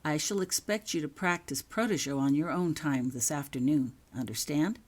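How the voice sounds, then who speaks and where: A woman reading the part of a brisk, matter-of-fact female magic teacher giving instructions in a storybook. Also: low voice. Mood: brisk, instructive. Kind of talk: storybook character dialogue.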